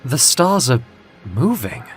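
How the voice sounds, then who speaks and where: A man's voice: medium pitch, very animated, takes it slowly, breathy edge, faint music behind, exaggerated voice. A man voicing a bewildered, polite newcomer, hesitant and questioning, in an audio fantasy story. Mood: puzzled, uneasy. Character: bewildered, polite male newcomer to a strange realm.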